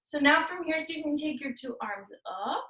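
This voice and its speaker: High-voiced woman leading a workout. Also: lively intonation, normal speed, pushed and bright. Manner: upbeat, playful cueing mixed with Spanish words. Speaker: fitness instructor. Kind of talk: guided workout cues. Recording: echoey room, voice far from mic.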